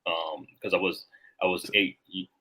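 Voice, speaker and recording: Male guest in his thirties from Rwanda; deep, husky voice, easygoing and reflective. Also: steady tone, moderate pace, pushed and bright, poor audio. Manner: reflective, loose, many filler words. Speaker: guest, Marine veteran. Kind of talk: casual podcast conversation.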